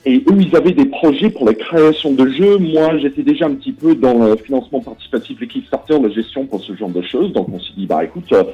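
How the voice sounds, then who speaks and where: A man's voice: medium pitch, expressive, quick pace, hardly any pauses, soft background music. A man from Britain with a British English accent, a board game publisher answering interview questions in French with many fillers and restarts. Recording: dull lo-fi audio.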